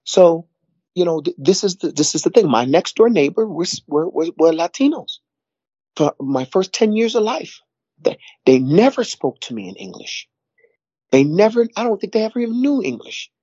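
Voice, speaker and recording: Husky-voiced middle-aged New man. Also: sits high, big pitch swings, moderate pace, mellow, no echo, lo-fi sound. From New York. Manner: rambling, repeats words, frequent "you know". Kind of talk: casual conversation.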